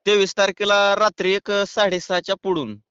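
A man with a rural western Maharashtra Marathi accent, chatting casually on a phone call in a hesitant, halting way. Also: high voice, level tone, sharp tone, clear, resonant tone, no room sound. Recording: muffled phone call recording.

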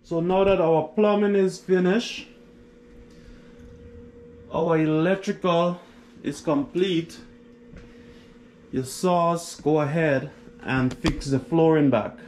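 A man's voice: on the high side, steady tone, takes it slowly, pauses often, a little mellow, slight background noise. A contractor talking plainly through a basement renovation as he works, recorded on a camera mic. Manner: plain, matter-of-fact planning talk. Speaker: contractor host. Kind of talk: casual work narration.

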